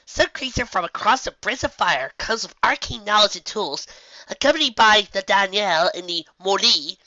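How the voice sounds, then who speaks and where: A narrator voicing an excitable, earnest young female unicorn pupil in a fantasy story, with flustered, exclaiming delivery. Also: mid-range voice, normal speed, no room sound, dull audio, pitched above the performer's own voice. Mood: indignant.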